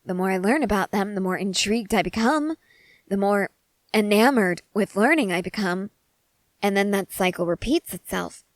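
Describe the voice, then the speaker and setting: An eager, intensely curious scientist-soldier , played by a woman, in an ASMR roleplay. The voice sits at a middle female pitch and talks in wordy, analytical tangents. The recording is dry and free of room sound. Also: lively intonation, normal speed, no room sound, lightly acted. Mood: earnest, intrigued. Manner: analytical, rambling, wordy and precise. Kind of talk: scripted ASMR character roleplay.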